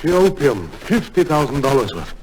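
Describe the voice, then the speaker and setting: A man's voice: medium pitch, big pitch swings, moderate pace, a little mellow, natural voice. A man playing a small, frightened smuggler in an old radio drama, out of breath and urgently furtive. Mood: frantic, furtive. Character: small, frightened, frantic male opium smuggler. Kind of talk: 1950s radio drama acting. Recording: noisy vintage radio recording.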